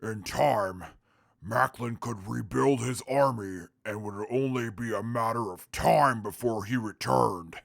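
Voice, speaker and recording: A man's voice: mid-range voice, measured pace, creaky, no room sound, clean studio sound. A man playing a haughty, humorless warrior in a post-apocalyptic audio drama, speaking with stiff, formal diction. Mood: grave.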